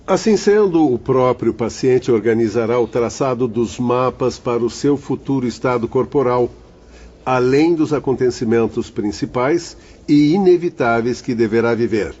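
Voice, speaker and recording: An actor playing a spirit mentor who calmly explains. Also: male voice, medium pitch, moderate pace, close to an everyday voice. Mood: explanatory. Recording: dull lo-fi audio.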